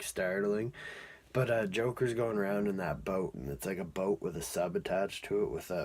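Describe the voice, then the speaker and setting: A man casually reviewing and recapping a cartoon episode in a rambling, informal, amused way. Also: low voice.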